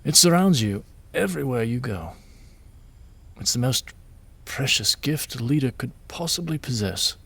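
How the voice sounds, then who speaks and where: An actor playing a middle-aged widowed king and father, tender and self-doubting, speaking in a halting, gentle way in an audio drama. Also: mid-range voice, lively intonation, gravelly, breathy edge, heavily acted. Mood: tender, earnest.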